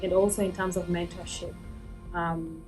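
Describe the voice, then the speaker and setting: Low-voiced woman professor explaining research. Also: level tone, music in the background. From Kenya. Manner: measured, explanatory, with frequent ums. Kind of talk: interview about research.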